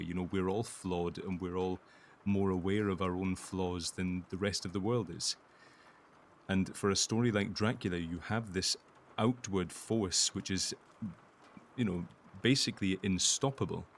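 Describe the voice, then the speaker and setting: Male panel guest, very low and creaky, earnest and halting in conversation, some background noise. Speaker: interview guest, voice actor.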